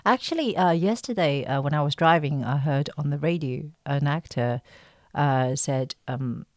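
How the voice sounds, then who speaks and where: A woman's voice: low-pitched, moderate pace, no room sound, studio quality. A woman chatting casually as a guest on a podcast, a voice actress who searches for her words and giggles easily. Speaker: guest, voice actress in an audio drama series. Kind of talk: casual podcast interview chat.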